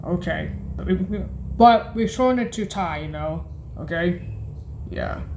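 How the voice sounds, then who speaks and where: A woman presenting a halting instructional demonstration, hesitant and often correcting and repeating herself. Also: fairly low pitch, vocal fry, darkish tone, slight background noise. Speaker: music practice presenter.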